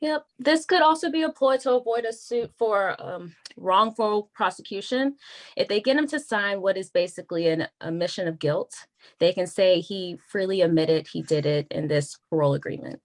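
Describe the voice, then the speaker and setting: A woman playing a wary, frank government attorney in a naturalistic script read, measured and confiding. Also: medium pitch, natural voice. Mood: frank, analytical.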